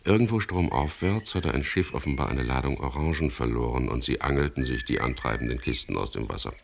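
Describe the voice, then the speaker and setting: Hard-boiled crime narrator, extremely low voice, gravelly creak, dry, stubborn, sardonic, radio drama. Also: male voice, expressive, fairly quick, a little hiss or hum, full character voice. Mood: dry narrating. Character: wry, stubborn adventurer and first-person crime narrator. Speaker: narrator and lead character.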